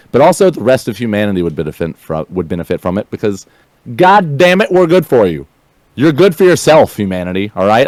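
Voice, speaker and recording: A man talking casually, fast and passionately, swearing, repeating and restarting words. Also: fairly low pitch, darkish tone, no echo. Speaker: streamer guest. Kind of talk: casual chat.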